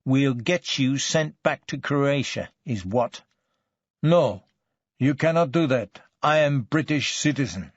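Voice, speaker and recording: A man voicing a stern, forceful police detective in an audiobook, with a deliberate, biting, hectoring way of talking. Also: medium pitch, animated, no room sound, muffled recording. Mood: angry, threatening.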